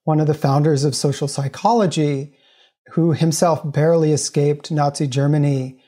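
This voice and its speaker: A man's voice: on the high side, steady tone, moderate pace, warm tone. A man from the United States, a professor, answering interview questions earnestly and explaining at length. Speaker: guest, professor.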